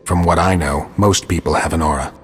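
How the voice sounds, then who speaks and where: A man playing a stoic monster hunter in a video game, terse and deadpan, with a gravelly, husky voice. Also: very deep voice, fairly quick, exaggerated voice. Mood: sardonic, terse. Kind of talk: scripted video game dialogue. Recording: long cavernous reverb.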